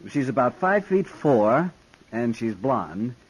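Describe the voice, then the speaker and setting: Prim, swooping, haughty gentleman. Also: mid-range voice, very animated, slow, slightly rough, full character voice, low-quality recording. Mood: formal, earnest. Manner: formal, haughty. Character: prim, impatient male Eastern city gentleman. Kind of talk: 1950s radio western acting. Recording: 1950s radio drama recording.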